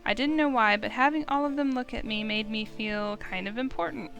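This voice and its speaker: A woman's voice: a little high, fairly quick, creaky, fairly bright tone, faint music behind. A woman streamer reading a visual novel aloud, telling the story expressively in the first person. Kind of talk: expressive story read-aloud of game text.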